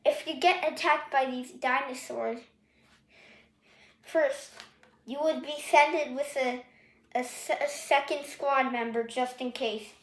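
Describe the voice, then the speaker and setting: A woman playing a scarred staff trainer at a dinosaur capture company, giving halting, improvised orientation in an amateur roleplay. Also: high-pitched, steady tone. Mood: instructive. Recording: echoey room.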